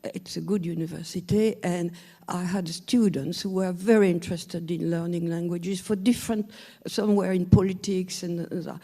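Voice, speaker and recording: A woman asking a question from the audience in a slow, deliberate way. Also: lowish voice, warm tone, breathy edge. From France. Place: lecture hall. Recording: long hall reverb.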